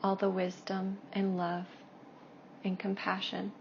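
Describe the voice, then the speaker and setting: A woman speaks as a meditation guide at a slow, unhurried pace. Her pitch stays even, and her soft phrases trail toward a whisper between long pauses. The recording is a little dull, with faint noise behind her. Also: creaky. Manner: hushed, soothing, drawn-out phrases with long pauses. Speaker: meditation guide and Reiki practitioner.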